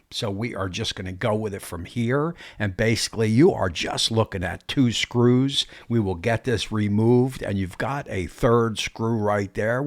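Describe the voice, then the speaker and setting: A man hosting a backyard barbecue channel, talking casually through a smoker fix with lots of fillers and restarts. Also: lowish voice. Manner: chatty, many fillers and restarts. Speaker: BBQ channel host. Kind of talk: casual how-to vlog.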